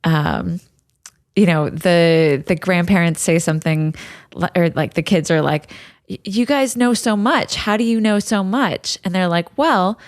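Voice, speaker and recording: A woman in her mid-thirties talks on a podcast with a somewhat low voice. She rambles a bit and restarts her sentences as she tells a story. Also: measured pace, no echo, clean studio sound. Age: 30s. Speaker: podcast co-host. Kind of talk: casual chat.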